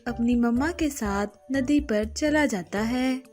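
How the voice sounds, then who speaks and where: A woman's voice: on the high side, takes it slowly, darkish tone, soft background music. A woman narrating a scripted children's story as the storyteller.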